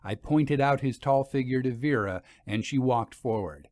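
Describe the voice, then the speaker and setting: A man's voice: medium pitch, moderate pace, darkish tone, no echo. Man narrating a story in a dry studio. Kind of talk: scripted audiobook story narration.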